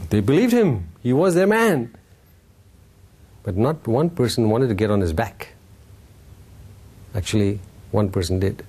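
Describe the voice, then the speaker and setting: Husky, mellow male storytelling teacher. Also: lowish voice. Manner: informal, playful, acting out dialogue, checking in with listeners. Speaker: Buddhist teacher giving a talk. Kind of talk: animated storytelling Dharma talk to a live group.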